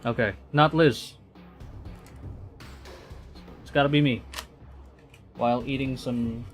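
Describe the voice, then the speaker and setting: A man doing live game commentary, excitable, reading story text aloud and muttering phrases over to himself. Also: medium pitch, slow pace, faint music behind. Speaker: streamer.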